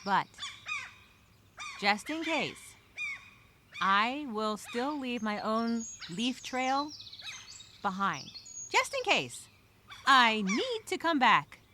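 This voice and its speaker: Woman acting an anxious castaway, slow and halting, widely swinging pitch. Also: noisy background, heavily acted. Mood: excited, nervous. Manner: expressive, halting, sighing, repeats herself. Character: anxious stranded woman castaway journaling on a deserted island. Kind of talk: dramatic first-person audio journal monologue.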